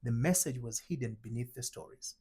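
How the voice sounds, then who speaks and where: A middle-aged man from Tanzania giving a spiritual teaching talk, slow and emphatic, asking rhetorical questions. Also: medium pitch, dark tone, breathy edge. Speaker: identity transformation coach.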